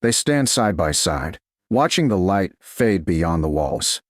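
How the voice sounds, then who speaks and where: Low-voiced, measured male narrator. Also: big pitch swings, dry recording, clean studio sound. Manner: slow, measured, unhurried. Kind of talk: scripted romance narration.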